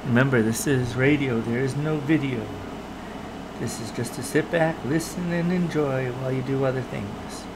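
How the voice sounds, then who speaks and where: Male host, mid-range voice, plain introduction, halting phrasing, noticeable background noise. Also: medium pitch. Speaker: host introducing old radio episodes. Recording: clear background hum and noise.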